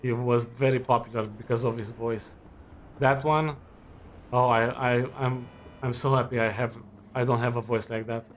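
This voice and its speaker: A man in his twenties chatting casually while playing a game, relaxed and rambling with lots of fillers and restarts. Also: mid-range voice, normal speed, a little hiss or hum. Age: 20s. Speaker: student gaming while chatting. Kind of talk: casual chat over gameplay.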